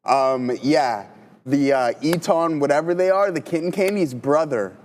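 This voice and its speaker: A man's voice: a little high, unhurried, slight background noise. A man in his thirties co-hosting a live trading stream, talking casually and gratefully with lots of filler words. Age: 30s. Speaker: co-host and trader.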